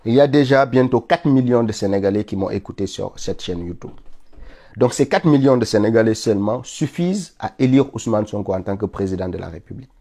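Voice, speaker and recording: A man's voice: fairly low pitch, expressive, brisk, warm tone. A man from Senegal with a cold, giving an insistent live political monologue that climbs to indignant peaks. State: stuffy with a cold. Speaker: political commentator streaming live.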